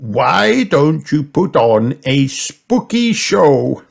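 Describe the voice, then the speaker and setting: A man's voice: fairly high pitch, big pitch swings, very slow, vocal fry, voice pushed up, full character voice. A man voicing a jolly, playful old grandfather pig in a children's storybook, with an indulgent manner.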